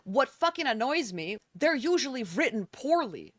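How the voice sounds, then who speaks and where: A woman's voice: sits high, very animated, normal speed, a bit bright, no room sound. Woman talking bluntly, dry recording. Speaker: content creator in a reacted clip. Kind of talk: casual opinion talk.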